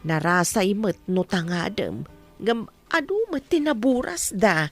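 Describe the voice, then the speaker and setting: A woman's voice: medium pitch, very animated, measured pace, faint music behind. An actress playing an affectionate, inquisitive older village mother in a radio drama. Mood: caring.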